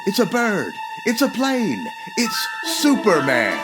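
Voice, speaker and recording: Male actor as breathless 1940s serial announcer, grand and dramatic, lively swings in pitch, music underneath. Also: slow, full character voice. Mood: excited, proclaiming. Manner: dramatic, declamatory. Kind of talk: 1940s radio announcer narration.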